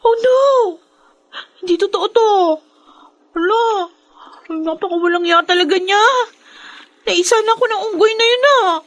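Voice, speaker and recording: An actress playing a distressed young daughter who pleads and exclaims in Filipino radio drama style. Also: female voice, very high-pitched, normal speed, pauses often, slight background noise. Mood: upset, shocked.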